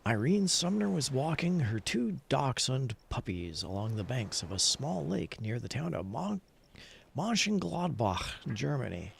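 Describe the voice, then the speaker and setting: A man's voice: medium pitch, big pitch swings, a little slow. A man hosting a livestream, chatting casually and reading aloud, rambling and narrating what he does as he goes. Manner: rambling, self-narrating, repeats himself. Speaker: livestream host. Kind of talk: casual gaming livestream chat and story readalong. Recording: clear background noise.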